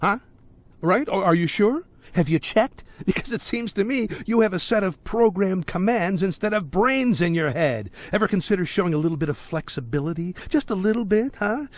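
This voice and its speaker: A talkative, menacing small-town crime boss in a video game. His voice sits fairly high and swings widely in pitch. He rambles theatrically, with jovial, folksy sarcasm. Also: lively intonation, normal speed, no room sound. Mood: exasperated, mocking. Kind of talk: video game cutscene acting.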